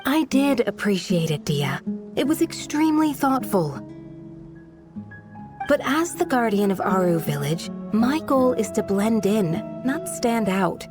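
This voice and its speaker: A woman playing a modest guardian of a desert village in a video game, speaking in a measured, polite and formal manner. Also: on the high side, sing-song, over music. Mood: composed, sincere. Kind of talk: video game character dialogue.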